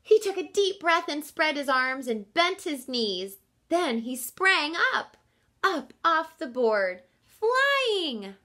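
A woman in her thirties reads a children's story in a high, bright voice with big swings of pitch. She takes her time and speaks straight to the children, explaining and asking questions like a teacher. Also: takes it slowly. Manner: expressive, instructive, addressing children directly. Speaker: reading teacher. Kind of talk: children's picture book read-aloud with questions to listeners.

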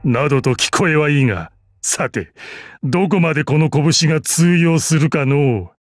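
Man acting a gruff old martial arts master, close and dry. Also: fairly high pitch, animated, moderate pace, no echo, natural voice. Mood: amused, resolute. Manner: archaic old-man speech, gruff dignity, dry amusement. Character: proud, battle-hardened old martial arts master assassin. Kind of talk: Japanese mobile game character voice lines.

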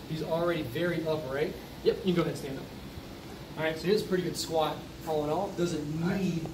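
A man in his thirties, a coach, explaining squat form to the camera in an upbeat, instructive way inside a large gym. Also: a little high. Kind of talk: coaching demonstration. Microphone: camera mic from across the room. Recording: echoey gym from far off, noisy.

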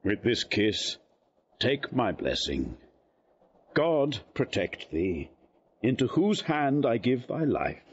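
Husky, hugely expressive king. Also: mid-range voice, slow, muffled recording, heavily acted. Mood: tender, regal. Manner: stately, theatrical. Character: regal king, a proud and grateful father. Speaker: actor playing a king. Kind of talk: Shakespearean audio drama acting.

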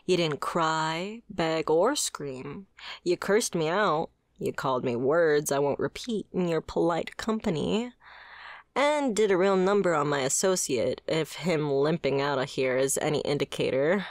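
A woman's voice: low-pitched, expressive, a little slow, dry recording, studio quality. A woman playing a wry, flirtatious female mafia boss with a sardonic, conversational manner in a scripted ASMR roleplay. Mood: reassuring, flirtatious.

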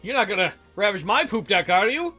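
A man's voice: sits high, big pitch swings, a little fast, pushed and bright. A man reading a visual novel aloud as a let's-play, joking and theatrical with playful commentary. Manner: joking, theatrical, often giggling. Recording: music under the voice.

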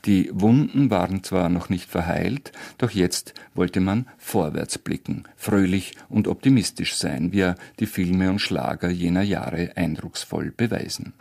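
A man's voice: sits low, vocal fry, darkish tone, husky. A man hosting a radio programme with scripted narration. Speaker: radio host.

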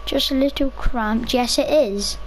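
A young woman chatting casually over her own gameplay, rambling to herself, her voice a little rough from a cough. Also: high-pitched, measured pace, slight background noise. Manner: chatty, rambling, self-talking. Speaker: gaming video creator.

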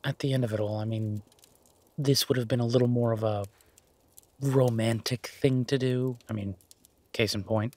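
A man playing a shy, gentlemanly boyfriend in an intimate romantic audio roleplay, speaking softly and haltingly with gentle teasing. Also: medium pitch, fairly even intonation, no echo, natural voice. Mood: bashful, affectionate. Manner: hesitant, soft-spoken, gently teasing.

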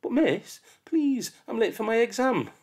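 A man's voice: very high voice, sing-song, a little slow, gravelly, darkish tone, pitched above the performer's own voice, heavily acted. A man voicing a timid young schoolchild in an audiobook, speaking in a whiny, pleading way. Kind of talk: audiobook character voice.

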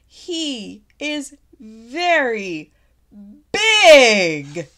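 A woman's voice: high-pitched, huge pitch swings, extremely slow, a bit bright, exaggerated voice. A woman voicing an earnest, anxious male elephant from a storybook, eager to help, speaking in an emphatic, dramatic way. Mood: awed. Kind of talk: storybook character voice.

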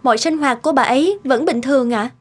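A woman voice-acting polite, gentle young women in an animated moral tale. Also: high-pitched, fast, no room sound. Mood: deferential. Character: polite young women: gentle daughter-in-law, dutiful care worker.

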